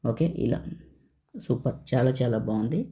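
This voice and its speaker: A woman's voice: rumbling low, moderate pace, dark tone. A woman hosting a step-by-step screen tutorial, instructing listeners in short commands. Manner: instructional, running through steps in short commands. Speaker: tutorial host.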